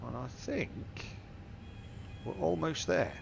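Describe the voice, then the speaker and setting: Gravelly, congested man walking through a cockpit. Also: a little high, lively intonation, slow pace. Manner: methodical, talks through each setting aloud. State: stuffy nose from a cold. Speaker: flight sim tutorial host. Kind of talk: step-by-step cockpit walkthrough. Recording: simulator engine and cabin noise behind. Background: aircraft simulator hum.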